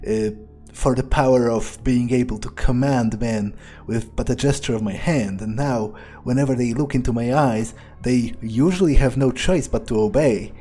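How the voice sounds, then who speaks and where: A man improvising the thoughts of a cynical, power-loving vampire during a game playthrough, rambling and haltingly sardonic, with some swearing. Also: mid-range voice, mellow, natural voice. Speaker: player of a video game, speaking in character.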